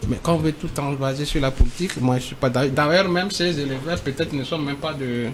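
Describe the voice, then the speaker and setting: A man speaking as a debate panelist, halting and repeating himself but emphatic. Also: a little high, a little hiss or hum. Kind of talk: debate commentary.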